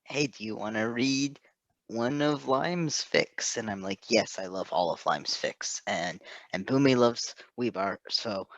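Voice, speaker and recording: A man's voice: on the low side, unhurried, fairly bright tone, no echo, lo-fi sound. A man hosting in a casual chat style, enthusiastic and prone to rambling. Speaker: host.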